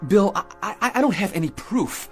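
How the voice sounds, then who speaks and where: A shaken, earnest astronaut major. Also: male voice, high-pitched, lively intonation, soft background music. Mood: urgent, insistent. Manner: halting, earnest, stammering. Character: shaken male astronaut major insisting on an incredible experience. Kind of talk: radio drama acting.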